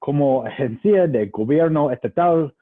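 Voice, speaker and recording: A man's voice: fairly high pitch, expressive, unhurried. A man whose first language is English giving a prepared speech in careful, effortful Spanish as a state agriculture trade official, speaking over a video call. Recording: tinny phone-like line.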